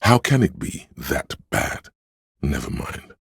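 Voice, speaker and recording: A man playing a solemn, all-knowing inner-voice narrator in a video game, with a gravelly, husky voice and a measured, literary delivery. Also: a bass voice, sing-song, dry recording, clean studio sound, heavily acted. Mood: wry, weary. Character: omniscient, solemn inner-voice narrator of police camaraderie. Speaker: voice actor narrating a character's inner voice.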